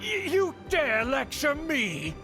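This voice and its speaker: A haughty older nobleman villain from an anime game, pitched high for a male voice. The delivery is pompous and theatrical, swinging widely with outrage, over game music. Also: wildly swooping pitch, slow pace, exaggerated voice. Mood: indignant. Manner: pompous, theatrical, formal phrasing. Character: haughty scheming older aristocrat uncle, pompous and easily outraged.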